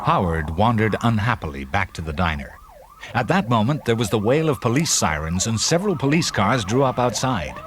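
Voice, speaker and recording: A man's voice: fairly low pitch, sing-song, a little fast, noisy background. A man giving dramatic scripted narration for a children's read-along story. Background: loud music and sound effects.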